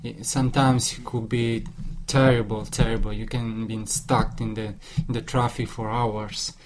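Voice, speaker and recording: A man with an Italian accent in an informal discussion, speaking haltingly and repeating words as he searches for phrasing. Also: fairly low pitch, level tone. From Italy. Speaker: discussion participant. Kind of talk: informal discussion in a second language. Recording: clear background noise.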